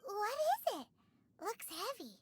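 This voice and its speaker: A woman voicing a tiny, chatty, cheeky fairy companion in a video game, squeaky and childlike. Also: big pitch swings, unhurried, exaggerated voice. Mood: curious.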